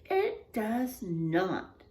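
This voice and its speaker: A woman's voice: mid-range voice, very animated, very slow, a little mellow. A woman doing a bossy, brave big sister. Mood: insistent.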